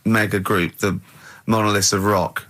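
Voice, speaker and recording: Man, bright forceful voice, radio host, casual comic banter, repeats himself. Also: lowish voice, little pitch movement, moderate pace. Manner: stammering, repeats phrases for emphasis.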